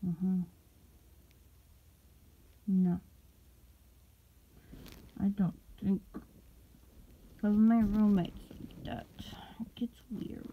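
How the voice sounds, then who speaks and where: A woman playing a sleepy, pouty young streamer roommate in an intimate ASMR roleplay, mumbling drowsily with drawn-out words and affection under the grumbling. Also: mid-range voice, steady tone, narrow, tinny audio. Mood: reluctant, pouty. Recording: close recording with background rustling noise. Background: fabric rustling.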